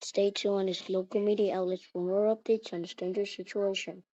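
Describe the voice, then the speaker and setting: A woman reading out an improvised mock emergency alert as the announcer, in a halting way with repeated phrases. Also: medium pitch, level tone, mellow, no room sound. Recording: muffled lo-fi recording.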